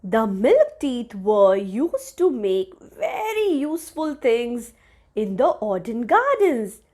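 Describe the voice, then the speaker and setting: High-pitched woman reading a children's story. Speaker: storybook reader for young children. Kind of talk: expressive read-aloud of a children's story.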